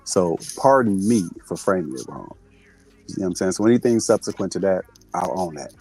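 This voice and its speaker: Deep husky man, conciliatory. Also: animated, a little fast, darkish tone, rough recording. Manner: conciliatory, apologetic. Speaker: panelist.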